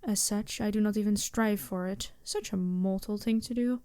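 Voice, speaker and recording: A woman voicing a poised, imperious yet compassionate demon princess in an intimate ASMR roleplay, with a deliberate, softly commanding manner. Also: mid-range voice, fairly even intonation, clean tone, dry recording, lightly acted. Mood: poised, self-assured.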